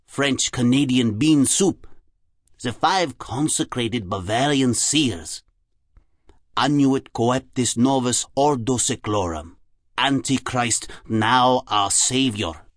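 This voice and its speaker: A man voicing a sneering, taunting psychoanalyst with a deliberate, incantatory manner in an audiobook. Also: extremely high pitch, animated, sharp tone, dry recording, clean studio sound, voice pushed up, heavily acted. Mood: ominous chanting. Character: sneering, taunting psychoanalyst, mad mystical chanter. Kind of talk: dramatised audiobook character acting.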